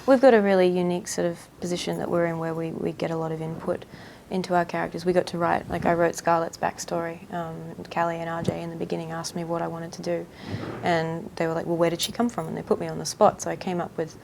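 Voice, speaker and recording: A woman's voice: lowish voice, flat delivery, fast talker, gravelly, a little mellow, slight background noise. A young woman from Australia with an Australian accent, chatting politely as an actress guest in a backstage interview, often trailing off. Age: young adult. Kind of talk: casual interview chat. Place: backstage room at a theater.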